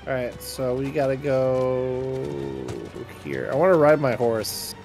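A man's voice: mid-range voice, slow, a little mellow. Male gamer, mid-range voice, casual joking banter, faint game music. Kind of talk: casual chat while gaming.

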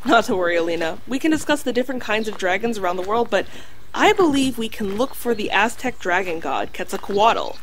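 A woman's voice: mid-range voice, sing-song, slight background noise, close to an everyday voice. A woman voicing a sensible female talking dog in an audio drama, speaking in formal, precise sentences. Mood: instructive. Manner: formal, precise, measured wording.

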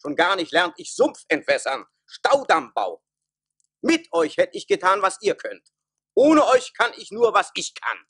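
An actor playing a pompous, commanding emperor in a satirical German radio play, declaiming grandly. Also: male voice, high-pitched, wildly swooping pitch, measured pace, fairly bright tone, breathy edge, full character voice. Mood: scornful, bitter. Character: pompous emperor and officials of a satirical folk tale.

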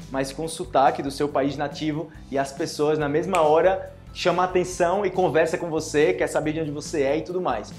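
A young man from Northeast Brazil with a Northeastern Brazilian accent, giving an animated Portuguese lesson to camera and acting out little dialogues. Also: fairly high pitch. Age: young adult. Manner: animated, gestures a lot, acts out dialogues. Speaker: Portuguese teacher. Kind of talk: online language lesson to camera. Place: small indoor room with plain wall.

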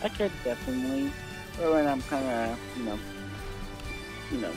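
A man improvising an easygoing, tired young newcomer in a Minecraft roleplay, speaking casually and haltingly. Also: mid-range voice, very animated, over music. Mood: casual, agreeable.

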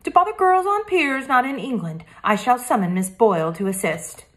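Woman doing a snooty stuck-up girl, squeaky high and loud, talks down to people. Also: expressive. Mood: indignant. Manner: loud, slow and disdainful. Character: snobbish haughty young English girl.

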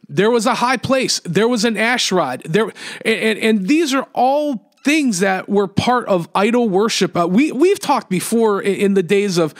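A man hosting his own podcast, giving earnest, halting commentary with frequent restarts. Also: high voice. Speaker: podcast host.

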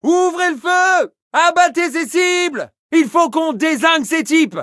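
Fierce video game soldier, strained urgent shouting, sharp tone, really dry studio sound. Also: male voice, extremely high pitch, measured pace, dry recording, full character voice. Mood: commanding. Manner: urgent, aggressive, short exclamatory bursts. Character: fierce French-speaking infantry soldier shouting battle barks. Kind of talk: video game combat voice lines.

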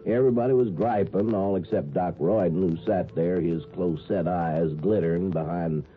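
Actor as low-voiced folksy small-town cop, old radio. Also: male voice, moderate pace, close to an everyday voice. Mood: wry. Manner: folksy, colloquial. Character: plain-spoken, folksy small-town policeman and narrator. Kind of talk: 1950s radio acting. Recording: tinny 1950s radio recording, music behind.

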